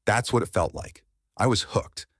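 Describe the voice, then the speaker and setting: A man in his thirties whose first language is Farsi, a meditation teacher and life coach telling his personal story in a reflective, animated way. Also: lowish voice, moderate pace, dry recording, clean studio sound. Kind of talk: personal storytelling podcast monologue.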